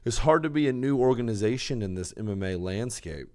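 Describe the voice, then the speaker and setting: A man's voice: lowish voice, lively intonation, fairly quick. A man co-hosting a podcast, chatting casually and explaining sports details. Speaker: podcast co-host.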